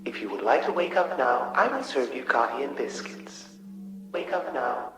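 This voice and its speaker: A man's voice: mid-range voice, animated, moderate pace, over loud music, lightly acted. Echoing android flight attendant by a male actor. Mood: bland, polite. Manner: formal, scripted courtesy. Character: polite robotic android flight stewardess. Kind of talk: radio comedy acting.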